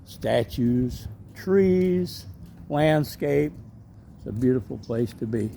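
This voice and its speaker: A middle-aged man with a gravelly, fry-edged voice rambles along as he vlogs his walk through a park. His delivery is chatty and loose, full of ums and asides. A faint hiss of outdoor noise sits behind him. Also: medium pitch, expressive, slow pace, warm tone. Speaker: vlogger and street evangelist. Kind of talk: casual walking vlog narration.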